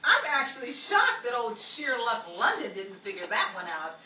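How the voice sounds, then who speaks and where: A woman's voice: fairly high pitch, very animated, moderate pace. A woman playing a sassy, sarcastic sleuth who tosses out theatrical quips in a 1940s-style radio comedy. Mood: dry teasing. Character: Betty, sassy wisecracking 1940s female sleuth. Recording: echoey, distant, noisy live room.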